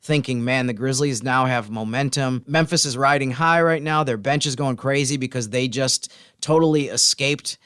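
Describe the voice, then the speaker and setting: A man's voice: mid-range voice, steady tone, normal speed, few pauses, a bit bright, smooth, dry recording, clean studio sound. A man co-hosting a podcast, talking sports in a casual, conversational way. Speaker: podcast co-host, sports reporter.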